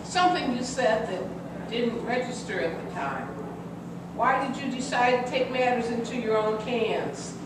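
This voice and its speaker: A woman's voice: a little high, a little slow, natural voice. An older woman playing a stern, anxious military officer and mother in an amateur radio play, recorded from far off in an echoing room. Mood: demanding, wary. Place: large echoing room, distant microphone. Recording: very echoey hall from far off, noisy.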